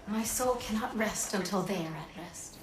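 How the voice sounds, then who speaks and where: A woman's voice: mid-range voice, moderate pace, natural voice. An actress playing a solemn, sorrowful restless female spirit in a video game, with a mournful delivery. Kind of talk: dramatic video game acting. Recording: echoing, with clear background noise.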